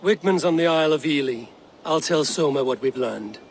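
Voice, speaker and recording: An actor playing a steady Viking warrior hero who reports in a plain, matter-of-fact way, in game audio. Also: male voice, on the high side, brisk, a little hiss or hum.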